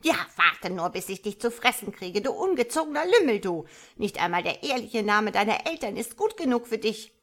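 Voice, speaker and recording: A woman's voice: lowish voice, lively intonation, normal speed, pushed and bright, full character voice. A woman voicing an old mother frog in a storybook, tearful, scolding and old-fashioned, with quacking interjections. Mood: furious scolding. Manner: scolding, lamenting, punctuated with croaky quacks.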